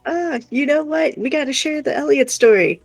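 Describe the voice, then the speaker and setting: High-pitched, creaky-voiced man chatting. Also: expressive, moderate pace, no echo, soft background music. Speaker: livestream co-host. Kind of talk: casual chat.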